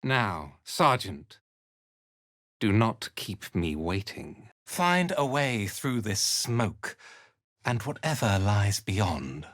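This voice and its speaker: Ruthless cult commander, video game villain, imperious, disdainful, deliberate pacing, widely swinging pitch, dry close sound. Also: male voice, sing-song, a bit bright, no room sound, exaggerated voice. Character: haughty, ruthless male cult commander, contemptuous slave driver. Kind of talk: video game character acting.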